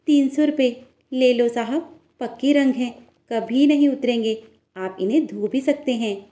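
A woman's voice: a little high, a little slow, dark tone, pitched above the performer's own voice, natural voice. A woman voicing eager, hardworking young village sisters who sell clay toys in a cartoon story. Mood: persuasive.

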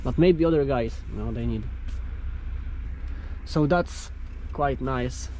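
Field: slow-talking Polish truck driver. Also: male voice, mid-range voice, darkish tone. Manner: unhurried, halting, with many fillers. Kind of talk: casual vlog talk to camera. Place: outdoors at truck parking areas. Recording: outdoor vlog audio with road noise.

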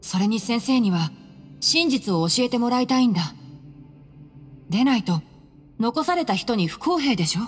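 A woman voicing a calm, earnest young male ranger in a video game, boyish and politely pointed. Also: a little high, moderate pace, long pauses, lightly acted. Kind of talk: video game dialogue acting. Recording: long hall reverb, soft music behind.

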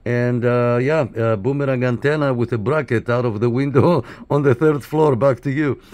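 A man's voice: medium pitch, steady tone, normal speed, background noise. A man making casual CB radio contact, friendly and often repeating his phrases. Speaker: CB radio operator and video maker. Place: indoor room at a radio desk.

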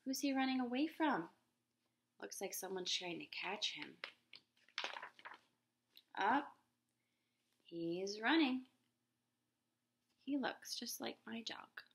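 A woman in her thirties reading a picture book aloud to children in a gentle, expressive teacher voice, recorded on a laptop webcam in a living room. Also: takes it slowly, creaky, pauses often, a little hiss or hum. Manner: gentle, expressive teacher voice, raises pitch for quoted characters. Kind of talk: children's read-aloud with questions to viewers. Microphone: laptop webcam at close range.

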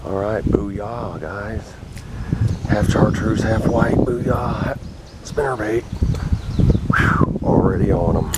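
A middle-aged man giving casual, excitable commentary while fishing a creek bank, recorded outdoors on a wearable action camera. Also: lowish voice. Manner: excitable, bursts of exclamations when a fish bites. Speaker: fishing vlogger. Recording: loud wind and water noise on a camera mic. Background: wind and rushing water.

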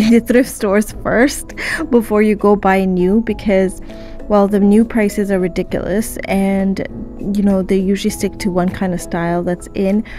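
A woman's voice: mid-range voice, dark tone. Woman, 30s, casual vlogger, under the weather, talks in loose rambling asides. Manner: chatty, rambling with fillers. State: sick, voice strained. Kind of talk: casual thrift vlog. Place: parked car, daytime. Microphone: phone at arm's length.

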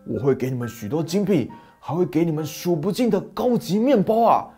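A man's voice: fairly high pitch, expressive, brisk, mellow, soft background music, lightly acted. A man playing a scheming, haughty storybook king. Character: scheming greedy middle-aged male king.

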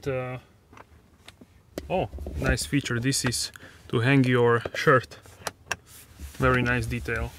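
A man in his thirties, speaking as an at a middle pitch, walks through a casual review in an easy, halting way, full of fillers and restarts. He holds a phone camera in a parked car, with faint ambient noise behind him. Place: inside a parked car, then outdoors at a dealership lot. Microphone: handheld phone camera.

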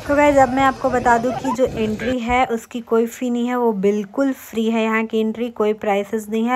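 Chatty young woman travel vlogger. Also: mid-range voice. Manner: chatty, informative, addresses viewers as guys. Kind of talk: voice-over narration.

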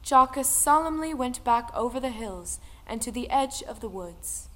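A woman narrating a scripted folktale in a measured, dramatic style. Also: on the high side, lively intonation, sharp tone. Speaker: storyteller. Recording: music under the voice. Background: music.

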